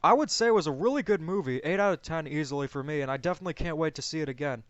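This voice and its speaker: A smooth, clear male voice, pitched a little high, in casual film discussion. The recording is dry and somewhat dull. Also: lively intonation, rapid-fire, no room sound. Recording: dull, lo-fi, close dry sound.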